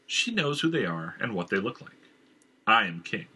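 A man's voice: on the low side, sing-song, normal speed, sharp tone, husky, full character voice. A man playing a proud, stubborn king who speaks in firm, commanding tones.

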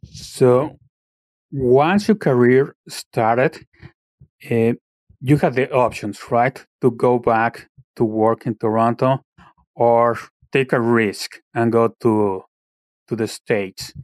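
A man hosting an interview, asking questions conversationally and a little haltingly, repeating words as he goes. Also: medium pitch, a little mellow, no room sound. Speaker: interview show host.

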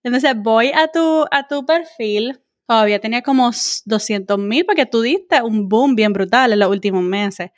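A woman's voice: on the high side, expressive, normal speed, hardly any pauses, no room sound, clean studio sound. A woman with a Puerto Rican accent hosting a casual interview, chatty and encouraging, mixing English words into her talk. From Puerto Rico. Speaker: interviewer, host.

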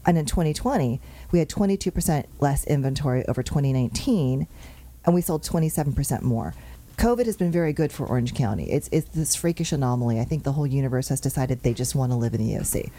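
Low, mellow-voiced woman hosting a show. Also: expressive. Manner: brisk, upbeat, reels off numbers. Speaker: show host and real estate agent. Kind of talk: chatty show monologue on market figures.

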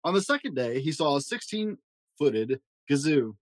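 A man reading a children's fairy tale aloud in a gentle storytelling manner. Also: very animated, unhurried, no echo. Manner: gentle storytelling with varied pitch for emphasis. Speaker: storybook reader.

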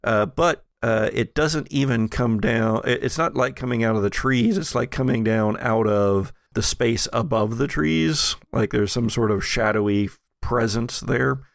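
A man with a creaky voice narrates a tabletop game as its game master. He feels his way through descriptions informally and haltingly, in a dry, close sound. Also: mid-range voice, dry recording.

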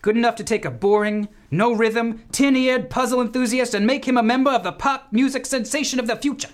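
A man playing an excitable, needy washed-up bandleader in a comic radio play, melodramatic and given to flowery exclamations. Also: extremely high pitch, fairly quick. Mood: sentimental.

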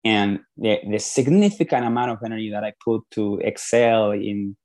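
Peruvian man with a low voice. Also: moderate pace, a little mellow. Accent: Peruvian Spanish. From Peru. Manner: earnest storytelling with frequent restarts. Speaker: podcast guest. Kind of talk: podcast interview.

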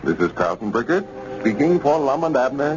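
A male radio announcer reads a sponsor message in a measured, formal voice. His pitch rises and falls dramatically. The sound is a dull, hissy 1930s transcription. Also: very animated. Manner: measured, formal, sincere delivery.

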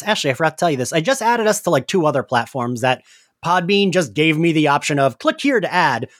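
A man's voice: fairly high pitch, fairly bright tone, no echo, clean studio sound. A man in his forties hosting a casual podcast, chatty and self-deprecating. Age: 40s. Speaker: podcast host.

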